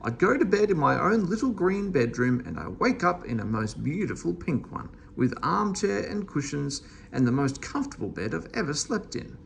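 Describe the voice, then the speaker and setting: Storybook muddler, male reader acting: easily astonished, indignant and demanding, very animated, faint noise behind. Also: fairly high pitch, moderate pace, creaky, few pauses. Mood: delighted wonder. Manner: exclamatory, demanding.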